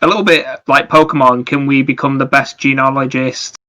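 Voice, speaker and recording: A man's voice: mid-range voice, steady tone, brisk, sharp tone. A man co-hosting a gaming podcast, chipping in casually with short remarks. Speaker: podcast co-host. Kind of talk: casual chat with short interjections.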